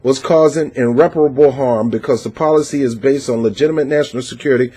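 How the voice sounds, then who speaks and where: A man's voice: mid-range voice, fast talker, mellow, slightly rough. A man giving opinionated political commentary, reading out a news article and reacting to it with passionate, preachy emphasis and frequent fillers. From United States.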